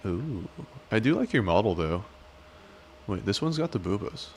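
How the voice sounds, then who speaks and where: A man's voice: lowish voice, big pitch swings, normal speed, slight background noise. A man streaming live, chatting casually with viewers in a rambling, joking, self-deprecating way.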